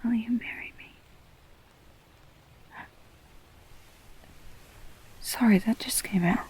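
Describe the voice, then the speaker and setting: A woman playing a tender, flustered girlfriend in an intimate roleplay audio, speaking softly and haltingly, often slipping toward a whisper. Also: a little high, husky. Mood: whispered, embarrassed. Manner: halting, stammering, soft-spoken, breaking into whispers. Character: tender, flustered girlfriend blurting out a marriage proposal.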